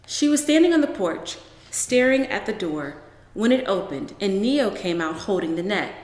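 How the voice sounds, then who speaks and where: A woman reading a children's story aloud as narrator, in an expressive style. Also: mid-range voice, normal speed. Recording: roomy echo with long reverb.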